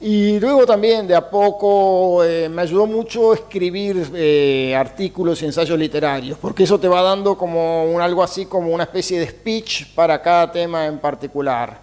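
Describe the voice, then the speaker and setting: A man with an Argentine Spanish accent speaks in a fairly high voice. He answers slowly and reflectively, pausing often on fillers and chuckling lightly. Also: smooth. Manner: hesitant, many fillers, soft chuckles. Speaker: interview guest, writer and mathematics teacher.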